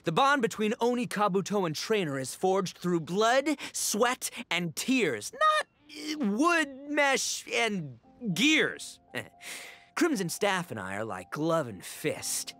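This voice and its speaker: A man's voice: high-pitched, wildly swooping pitch, slow, pushed and bright, soft background music, full character voice. An actor voicing a brash, goofy young male oni gang leader in a video game, bombastic and slangy. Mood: cocky. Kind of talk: animated video game voice acting.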